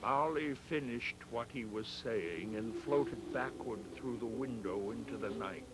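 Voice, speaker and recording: Slow, measured male storybook narrator. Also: mid-range voice, noisy background.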